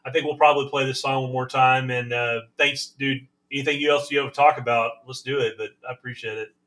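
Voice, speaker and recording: A man from the band, hosting a live chat and talking quietly and quickly as he gives his thanks. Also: medium pitch, fairly even intonation. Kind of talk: casual chat. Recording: distant mic with music behind.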